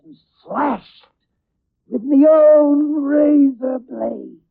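Crazed dying thespian, high-pitched, creaking. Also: male voice, fairly even intonation, extremely slow, no room sound, exaggerated voice. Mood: crazed, vengeful. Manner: theatrical, anguished. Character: crazed dying stage actor, vengeful and grandiose. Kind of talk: 1940s radio acting. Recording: old narrow-band radio recording.

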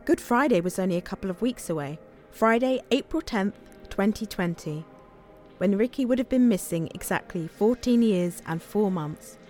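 Actress as a young homeless British woman, mid-pitched, blunt, hopeful, determined, audio drama, faint music. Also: lively intonation, moderate pace, a little mellow, close to an everyday voice. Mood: reflective. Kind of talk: audio play dialogue and narration.

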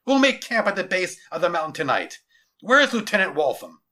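Guy doing a bossy army general in a story, super forceful and declamatory, voice going up and down a lot, barks orders. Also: high-pitched, very animated, moderate pace, pitched above the performer's own voice, full character voice. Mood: gleeful, triumphant. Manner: commanding, declamatory, barking orders. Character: domineering, zealous middle-aged male army general.

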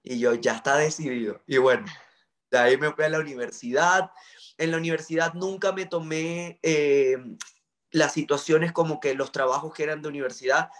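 Earnest Venezuelan man, higher voice. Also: steady tone, moderate pace, a bit bright. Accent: Venezuelan (Maracaibo). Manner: earnest, reflective, with frequent fillers. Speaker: journalist guest. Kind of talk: interview storytelling.

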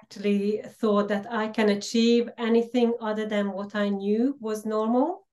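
A middle-aged woman from Slovakia with a Hungarian-Slovak accent, a podcast guest telling her life story earnestly with frequent pauses. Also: medium pitch, fairly even intonation, darkish tone. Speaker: podcast guest, empowerment coach. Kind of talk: personal storytelling interview.

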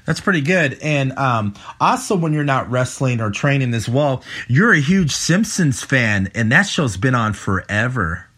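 A male interviewer asks his questions with lots of "um" and "uh". His voice is mid-pitched for a man, with a husky, breathy texture. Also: very animated, measured pace, hardly any pauses, pushed and bright. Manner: hesitant, filler-heavy questions. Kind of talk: casual interview.

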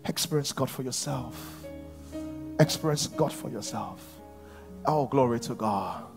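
A man preaching a sermon to his church, at times rising to shouts. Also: medium pitch, lively intonation, unhurried, music in the background. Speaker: pastor.

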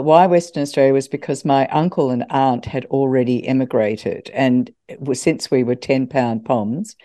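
A woman's voice: rumbling low, dark tone. An older woman from Scotland, a former radio host, chatting in an interview and laughing at her own stories. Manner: chatty, laughs often, self-deprecating. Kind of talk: interview, anecdotal storytelling.

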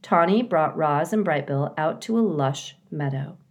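A woman reading a children's book aloud as scripted narration, in a measured, expressive storytelling way. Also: low-pitched, highs cut off. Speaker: storybook reader.

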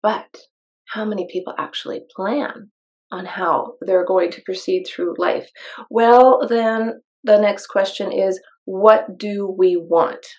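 A woman's voice: mid-range voice, slow pace, poor audio. A woman hosting a personal development show, reading from a book aloud and adding conversational commentary, with rhetorical questions and emphasized key phrases.

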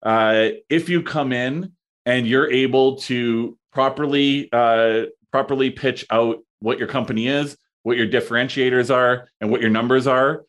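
A man's voice: mid-range voice, steady tone, a bit bright, dull audio. Casual man, hesitant and rambling. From Canada. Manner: halting, many fillers and restarts. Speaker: podcast guest, entrepreneur. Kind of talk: casual conversation.

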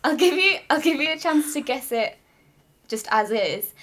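A woman's voice: high voice, animated, moderate pace, a bit bright. A young woman with a Northern Irish accent co-hosting a podcast in playful, teasing casual chat. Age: young adult. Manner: playful, teasing, laughs often. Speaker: podcast co-host.

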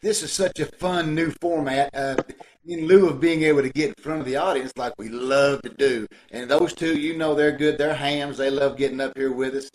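A man's voice: mid-range voice, fairly even intonation, moderate pace. Folksy man co-hosting, faint noise. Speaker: troupe member co-hosting. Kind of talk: casual chat to audience. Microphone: video call. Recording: faintly noisy video call.

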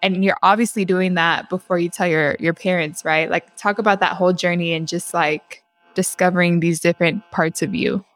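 A woman's voice: on the low side, fairly even intonation, normal speed. A woman hosting a conversational interview, enthusiastic and casual, asking a guest to open up. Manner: enthusiastic, uses casual slang. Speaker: host.

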